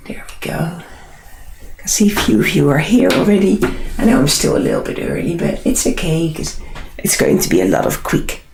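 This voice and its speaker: A woman with a Dutch accent and a husky, unwell voice, chatting casually and unhurriedly as she hosts a live drawing tutorial. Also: sits low, wildly swooping pitch, normal speed, a little mellow, echoey room, slight background noise. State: unwell, struggling hoarse voice. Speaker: artist hosting a live drawing session.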